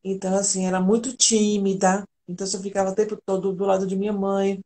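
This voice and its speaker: A woman's voice: mid-range voice, little pitch movement, fast, warm tone. A woman from Argentina, an integrative therapist, telling her personal story earnestly and candidly as a guest on a live video call.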